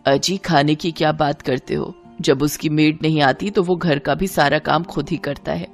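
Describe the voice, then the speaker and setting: A chatty middle-aged landlady in a domestic audio tale, voiced by a woman. She talks in a lowered pitch, familiar and persuasive, with soft music underneath. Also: steady tone, normal speed. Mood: persuading.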